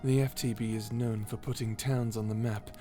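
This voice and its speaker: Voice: medium pitch, fairly even intonation, moderate pace, mellow, faint music behind, voice pushed down, natural voice. A performer voicing a cold, edgy male tsundere vampire in an ASMR roleplay, curt and dismissive, hiding fondness. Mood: curt, guarded. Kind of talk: ASMR roleplay character acting.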